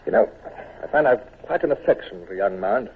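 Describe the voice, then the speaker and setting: An actor playing a clipped, authoritative naval commodore who also narrates, in a vintage radio drama. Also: male voice, lowish voice, lively intonation, a little fast. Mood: brisk. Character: brisk, commanding male naval commodore. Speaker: narrator and lead. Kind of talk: 1940s radio acting. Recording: tinny old radio broadcast with faint hiss.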